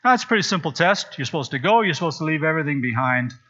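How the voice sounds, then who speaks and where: A man from Zambia preaches to his congregation in a relaxed, storytelling way, full of asides. His voice is bright and a little high. The recording sounds muffled and lo-fi. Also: very fast. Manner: chatty, storytelling, with frequent asides. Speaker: pastor. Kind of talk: sermon. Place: church sanctuary.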